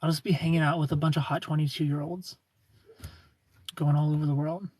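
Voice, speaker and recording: A man in his thirties hosts a casual livestream, greeting viewers and reading their comments aloud. He jokes at his own expense and laughs often. His voice is slightly raised in pitch and a little husky. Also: little pitch movement, fairly quick, a little mellow, dry recording. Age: 30s. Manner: joking, self-mocking, laughs often. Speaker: livestream host.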